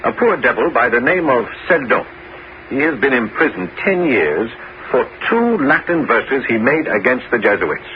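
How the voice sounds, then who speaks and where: An actor playing a smooth, scheming French ex-musketeer bishop in a 1970s radio drama, with measured, courtly, theatrical delivery. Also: male voice, mid-range voice, sing-song, close to an everyday voice. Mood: persuasive. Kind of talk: 1970s radio drama acting and first-person narration. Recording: noisy, dull 1970s radio recording.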